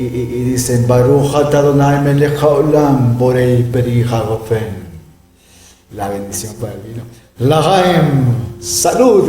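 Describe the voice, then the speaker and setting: A man's voice: mid-range voice, dark tone, recorded from a distance. A middle-aged man teaching a Bible lesson, emphatic and given to asking "¿verdad?" as he explains. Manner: emphatic, peppers speech with "¿verdad?". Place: room with closed blinds. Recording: echoey room, voice off-mic.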